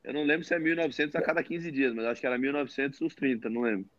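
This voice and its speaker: A man in his thirties co-hosting a casual video-call chat, teasing the others in quick joking banter. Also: medium pitch, fairly even intonation, no echo. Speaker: podcast co-host. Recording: dull lo-fi video call audio.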